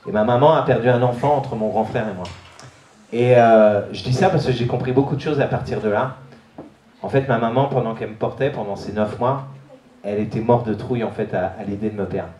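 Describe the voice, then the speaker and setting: A man's voice: mid-range voice, a little fast, dark tone, a little hiss or hum. A man from France giving a hesitant personal testimony, with many fillers and restarts. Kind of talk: personal testimony at a church youth event. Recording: echoey room.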